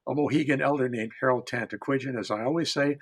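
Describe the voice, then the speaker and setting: An older man speaking as a storyteller on a panel, reflective and deliberate, pausing between phrases. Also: mid-range voice, husky.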